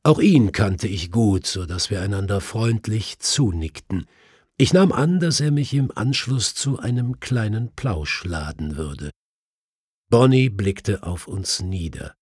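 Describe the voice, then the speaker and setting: A man reading a detective audiobook in a measured, formal literary style. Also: on the low side, animated, a little mellow, breathy edge, no echo, studio quality. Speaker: audiobook narrator. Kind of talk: scripted literary narration of a detective story.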